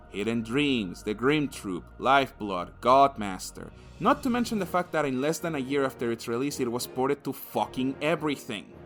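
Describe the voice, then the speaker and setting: A man delivers an opinionated video essay as a game reviewer. His medium-pitched voice moves around a lot, lively and expressive. He is crude and sardonic and curses freely, with faint music behind him.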